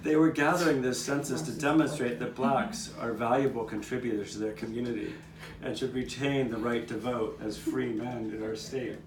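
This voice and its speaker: A man's voice: mid-range voice, steady tone, normal speed, a crowd in the background, natural voice. A man playing a 19th-century Philadelphian, earnestly narrating memories of his neighbors' struggles, occasionally stumbling over the script. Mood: earnest recollection. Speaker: narrator of a puppet show. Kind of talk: scripted first-person historical narration, storytelling. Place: outdoor courtyard, live performance. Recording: roomy, distant microphone, noticeable background noise.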